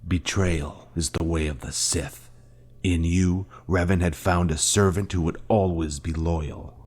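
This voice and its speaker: A very deep male voice carries an actor's performance of a grave fallen-order Jedi mentor. He is solemn and deliberate, posing weighty rhetorical questions in the scripted style of a dramatic video game. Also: steady tone, a little mellow. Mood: grim, explaining. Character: grave former Jedi Master confronting his fallen Padawan. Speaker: game character.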